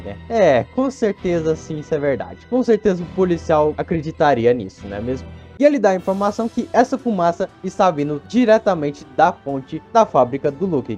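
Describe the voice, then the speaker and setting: A man's voice: sits high, darkish tone. A man with a Brazilian accent giving a casual, chatty recap commentary, colloquial and full of rhetorical tag questions. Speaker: YouTube cartoon reviewer. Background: background music.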